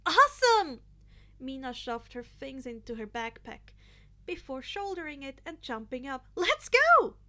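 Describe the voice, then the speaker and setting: A woman's voice: high-pitched, moderate pace. A woman narrating a piece of fan fiction as a scripted story reading.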